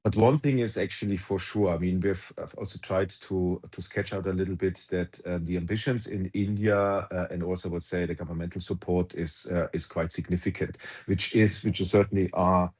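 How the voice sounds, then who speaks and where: A man with a low, level voice answers questions on a company earnings call. He talks in halting stretches, pausing on ums and restarting his phrases. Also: steady tone, darkish tone. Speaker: company executive answering analysts.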